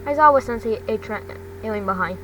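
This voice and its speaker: High-voiced woman reading haltingly, noisy distant recording. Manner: halting reading, stumbles over words. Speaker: amateur fan fiction reader. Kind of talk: scripted narration, reading a story aloud. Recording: distant mic with loud rumbling noise.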